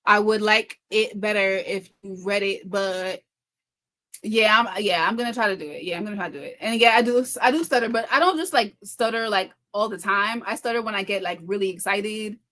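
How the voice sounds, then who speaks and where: A woman with a medium-pitched voice hosts an informal livestream. She reads comments aloud and answers them conversationally, tripping over words now and then and restating phrases for emphasis. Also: fairly bright tone. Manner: talks fast, stumbling and repeating herself. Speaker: livestream host and content creator. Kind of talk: casual live chat reading viewer comments aloud.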